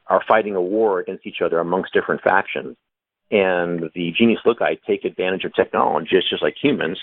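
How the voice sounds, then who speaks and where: A man, an author on a panel, explaining his story at length with thoughtful pauses and many fillers. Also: low-pitched, no room sound. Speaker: author guest. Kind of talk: casual panel discussion. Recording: tinny phone line.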